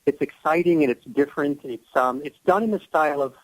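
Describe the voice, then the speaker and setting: A man's voice: fairly high pitch, a little fast, no room sound, rough recording. A man speaking as an actor guest in a casual radio interview, explaining things with enthusiasm. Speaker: guest, audio drama actor.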